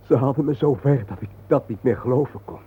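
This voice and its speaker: Serious radio play: soul-searching, earnest man, declamatory, mid-range pitch, phone-like lo-fi sound. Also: fairly quick, slightly rough. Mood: reverent, sombre. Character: intense, soul-searching man lost among the war dead. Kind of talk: poetic radio drama acting. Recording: muffled, noisy old radio play recording.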